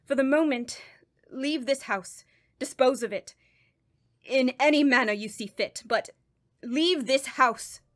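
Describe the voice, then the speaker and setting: Occult doctor, female actress, radio horror: fairly high voice, grave, commanding, deliberate delivery. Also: a bit bright. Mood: urgent, commanding. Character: grave, commanding woman doctor who studies evil spirits. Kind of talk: radio horror drama acting.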